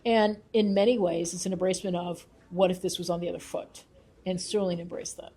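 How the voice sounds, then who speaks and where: A woman's voice: fairly low pitch, level tone, a little fast, dark tone, husky. A woman giving thoughtful interview answers as a researcher and author, explaining her views in an earnest, emphatic way.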